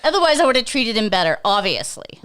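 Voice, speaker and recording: A woman in her thirties chatting as a guest, teasing playfully and acting out little quotes. Her voice is fairly high and swoops up and down a lot. She speaks close to a studio stand mic. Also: big pitch swings, fast, fairly bright tone, smooth. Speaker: guest, host's wife. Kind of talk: casual chat. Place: small studio with brick wall.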